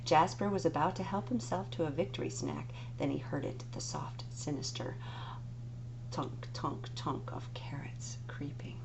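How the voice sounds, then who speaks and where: A woman's voice: deep voice, measured pace, gravelly. A woman librarian reading a picture book aloud, dramatic and dropping to whispers for the spooky parts. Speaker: children's librarian. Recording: dull lo-fi recording with noise.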